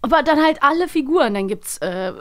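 A woman co-hosting a casual podcast about audio dramas, explaining things in an animated way. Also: high-pitched, fairly quick, slight background noise. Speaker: podcast co-host.

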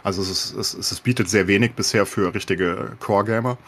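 Male podcast co-host, lowish husky voice, casual and opinionated, peppers with English gamer terms. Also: steady tone, fairly quick, a bit bright. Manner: mixes English gaming slang into German. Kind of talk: casual opinionated gaming chat.

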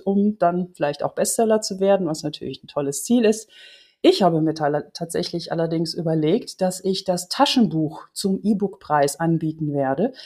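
Female business coach, dark relaxed tone, conversational podcast delivery. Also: lowish voice. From Netherlands. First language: Dutch. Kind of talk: podcast tip monologue, conversational.

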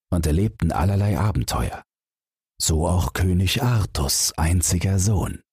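Guy narrating a bedtime fairy tale, deep and very husky, slow, dry recording. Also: a little mellow, no echo. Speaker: audiobook narrator.

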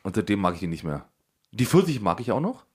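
A man co-hosting a casual podcast, halting and stumbling over his words as he explains himself. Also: on the low side, very animated, darkish tone, slightly rough. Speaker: podcast co-host. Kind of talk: casual chat.

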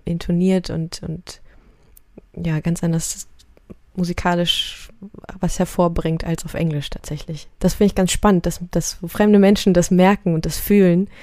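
A woman's voice: on the low side, warm tone, dry recording, studio quality. A woman musician, a native Russian speaker, talking casually in an interview with many fillers and restarts. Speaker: musician guest.

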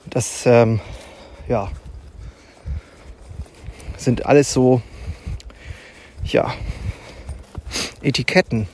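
A man talks through his own podcast while walking outdoors in a forest, with the quiet ambience of the woods faintly audible behind him. His voice sits at an ordinary middle pitch. He muses aloud in an unhurried way, pausing to think and filling the gaps as he goes. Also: slight background noise. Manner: musing and unhurried, with thinking pauses and fillers. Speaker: podcast host. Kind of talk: reflective solo podcast monologue. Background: faint forest ambience and animal sounds.

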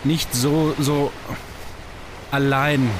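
A man's voice: mid-range voice, flat delivery, extremely slow, noisy background. A man playing an awkward, nervous young stage mentalist in a science-fiction radio play, stammering and hesitant. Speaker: voice actor in an audio drama.

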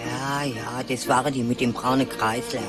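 A woman's voice: a bass voice, little pitch movement, normal speed. A woman playing a stubborn gossip in comic dialogue, insistently repeating her point as she bickers. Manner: insistent, repetitive. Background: music.

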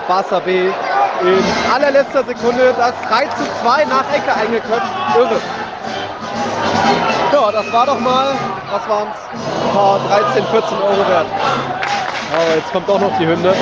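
A high-pitched man gives informal, off-the-cuff football commentary from the stands of an outdoor stadium. A very loud crowd surrounds him, and the handheld recording is muffled. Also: normal speed, over loud music. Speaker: podcast host reporting from a stadium. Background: loud football fans and crowd.

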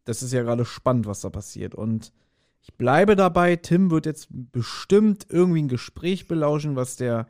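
A man's voice: a little high, lively intonation, moderate pace, darkish tone. A man co-hosting a podcast in casual chat, speculating and thinking aloud somewhat hesitantly. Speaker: podcast co-host. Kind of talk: casual chat, speculating about an audio drama.

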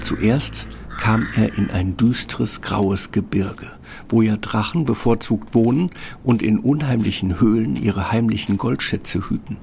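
A man's voice: fairly low pitch, measured pace, creaky, music in the background. A man narrating a scripted fairy tale as its storyteller.

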